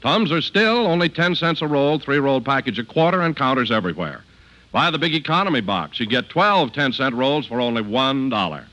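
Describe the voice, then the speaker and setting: A male announcer from 1950s radio with a medium-pitched, bright and punchy voice. He delivers scripted copy like a polished salesman. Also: animated, brisk, rough recording.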